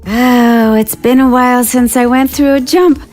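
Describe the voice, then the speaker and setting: A woman's voice: on the high side, fairly even intonation, unhurried, darkish tone, faint music behind, close to an everyday voice. A woman voicing a playful, flirtatious blue-skinned alien woman.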